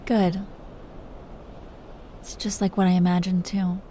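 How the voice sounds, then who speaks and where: A woman's voice: fairly low pitch, little pitch movement, unhurried, warm tone, lightly acted. Woman playing a tender, teasing dragon queen, ambient noise. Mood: wistful, affectionate. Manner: tender, playfully teasing, breathy whispers at times. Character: protective, teasing, doting dragon queen lover. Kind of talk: intimate roleplay audio, soft acting.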